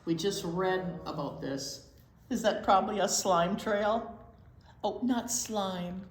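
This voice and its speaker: A woman reading a picture book aloud to young children as a storytime teacher, expressive and often putting questions to them, in a roomy echoing space. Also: medium pitch, slow pace. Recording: roomy, echoing indoor sound.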